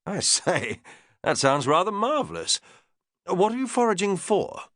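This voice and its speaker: A man's voice: sits high, big pitch swings, measured pace, a bit bright, dry recording, studio quality, voice pushed up, heavily acted. A man doing a cheerful posh English lord. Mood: delighted. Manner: plummy, enthusiastic.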